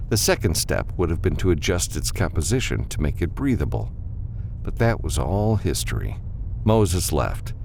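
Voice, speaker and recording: Male audiobook narrator, low creaky voice, animated intonation, scripted storytelling, faint noise behind.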